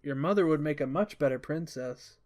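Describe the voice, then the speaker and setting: A man voicing a doting father in a story, gentle and indulgent, playfully theatrical. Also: fairly high pitch, normal speed, close to an everyday voice. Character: doting young father playing at pantomime villain.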